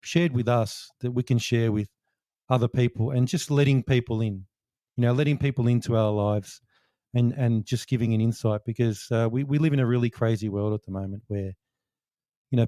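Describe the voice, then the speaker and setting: A man's voice: mid-range voice, brisk, mellow, no room sound. A man co-hosting a casual podcast chat in a mid-range voice. He rambles and jokes, circling back with fillers and restarts. The recording is dry and close, with no room sound. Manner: joking, rambling wrap-up with many fillers. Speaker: podcast co-host.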